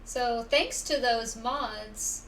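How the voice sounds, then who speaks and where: Woman, live streamer, casual chat, lightly high pitch, leisurely delivery, slightly noisy distant recording. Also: very slow, a bit bright. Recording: distant mic, faint background noise.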